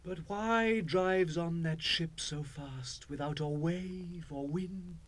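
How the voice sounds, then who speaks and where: A high, slow, wondering spirit. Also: male voice, a bit bright. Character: wondering unseen spirit voice questioning in verse. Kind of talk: dramatic verse reading on a record. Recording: faint hiss of 1960s vinyl record.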